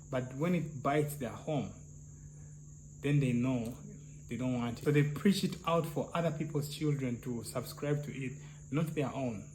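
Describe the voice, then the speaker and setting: A man with a soft, slightly high voice, thinking aloud in casual discussion with many restarts and "yeah?" tags. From Uganda. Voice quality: soft, somewhat high-pitched voice. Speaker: clinical psychologist, co-host. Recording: noisy, crickets and hum.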